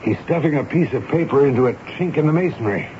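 Through a dull, hissy broadcast comes an American army major, played by a male actor with a mid-pitched voice. He is kindly and reasonable, with measured, educated authority, as in an old radio play. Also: expressive, lightly acted. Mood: alert. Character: kindly, reasonable army major commanding a fort, narrating his tale. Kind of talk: vintage radio drama acting and narration.

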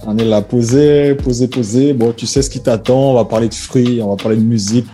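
A male host with a mellow, warm tone runs an interview, asking his questions in a relaxed and upbeat way. His voice sits at a middle pitch. Faint music plays softly under his voice. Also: brisk. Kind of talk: radio-style interview hosting.

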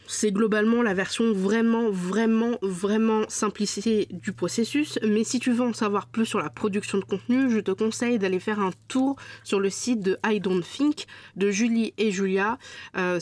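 A woman's voice: mid-range voice, darkish tone, dry recording. A woman hosting her own podcast while stuffed up with a cold, talking casually and directly to the listener with frequent fillers. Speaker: podcast host. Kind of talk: solo podcast talk.

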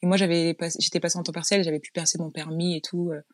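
A woman's voice: lowish voice, little pitch movement, fast talker, dry recording, clean studio sound. A woman from France, a guest in a casual interview, telling her story frankly in a chatty way with frequent restarts. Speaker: guest, business owner.

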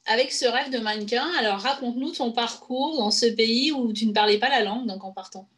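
A woman's voice: a little high, a little fast, a bit bright. A woman hosting a podcast interview, asking questions casually with a few fillers. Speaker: podcast host and coach.

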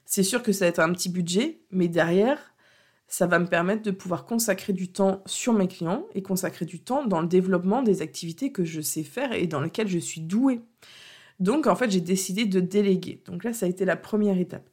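A woman's voice: fairly low pitch, brisk, darkish tone, slightly rough. French woman hosting a podcast with a cold. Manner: hesitant, many fillers and restarts, earnest. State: has a cold. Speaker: podcast host and coach. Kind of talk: unscripted solo podcast talk.

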